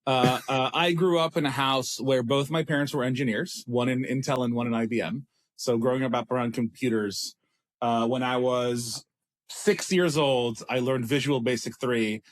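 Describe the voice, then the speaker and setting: An Israeli man in his thirties tells his story in a relaxed, meandering way. He restarts his sentences often and pokes fun at himself. His pitch sits in the middle of the range for a man. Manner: rambling, self-deprecating, many restarts. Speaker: startup CEO guest. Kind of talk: casual interview talk.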